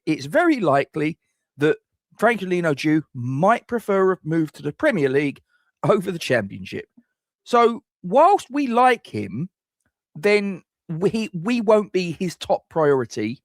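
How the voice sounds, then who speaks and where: A man's voice: on the high side, no echo, clean studio sound. A middle-aged man hosts a football fan channel, giving scripted transfer rumour commentary with wry, self-mocking jokes.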